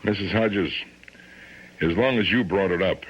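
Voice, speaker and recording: A man's voice: low-pitched, unhurried. An actor playing a blunt, pressing male police lieutenant in a radio drama. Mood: pressing, incredulous. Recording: dull lo-fi radio broadcast, background noise.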